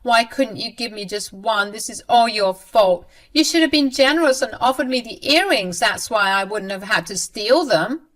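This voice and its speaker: Give me a woman playing a high, whining, scheming mother-in-law who pleads loudly. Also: lively intonation, moderate pace, few pauses. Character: scheming older mother-in-law, whiny and self-pitying.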